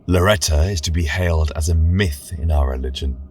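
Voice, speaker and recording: A man's voice: extremely low pitch, a little fast, a little mellow, a little hiss or hum. A man voicing an imperious paladin of a fantasy church, giving cold, formal commands in an audio drama. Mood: stern, commanding. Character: stern, imperious male holy paladin silencing heretics.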